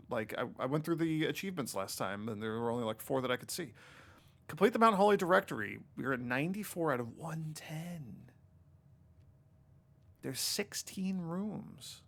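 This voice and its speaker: A man's voice: a little high, creaky. A congested, sniffly man hosting a let's play at home, thinking aloud casually and reading game text out as he plays. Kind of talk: casual gameplay commentary. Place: home, indoors.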